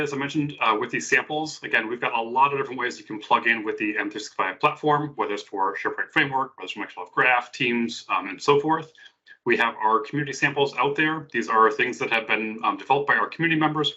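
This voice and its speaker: A man co-hosting an online developer community call, giving a brisk, upbeat rundown of resources and links. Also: mid-range voice, pushed and bright, muffled recording.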